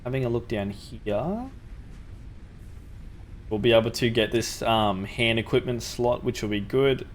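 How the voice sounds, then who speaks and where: A man's voice: medium pitch, measured pace, pauses often, slight background noise. A young man with a sore throat, casually talking through a factory-building game as the channel's host, thinking aloud with ums. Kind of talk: gameplay commentary.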